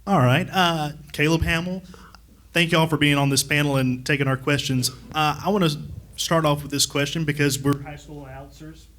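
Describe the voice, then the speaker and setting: A man's voice: a little high, steady tone, moderate pace, a bit bright. A man who works as a sports announcer, casually putting a question to a panel from the audience.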